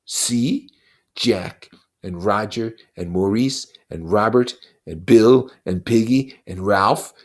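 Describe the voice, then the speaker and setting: A narrator voicing a menacing, mocking pig's head, the beast, with drawn-out schoolmasterly condescension in a story read aloud. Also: mid-range voice, voice pushed up. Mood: angry, threatening.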